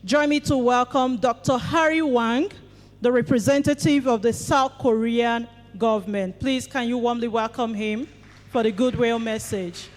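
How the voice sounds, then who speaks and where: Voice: mid-range voice, a little slow, in a large hall. A moderator introducing the next speaker at a forum. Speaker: event moderator.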